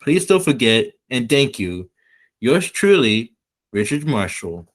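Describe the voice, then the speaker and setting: Man acting a slow, polite young engineer. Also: mid-range voice, sing-song. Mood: polite, hopeful. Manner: measured, courteous, slightly halting. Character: polite, eager twenty-two-year-old American mining engineer. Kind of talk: 1940s radio play letter reading.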